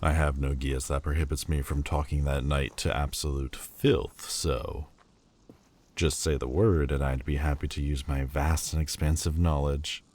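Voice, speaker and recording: A man voicing a haughty Lord of Demons, very deep. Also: steady tone, measured pace, darkish tone, heavily acted. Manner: haughty, sardonic, grudgingly tender. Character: proud, prickly male Lord of Demons. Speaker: voice actor. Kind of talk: fantasy audio roleplay.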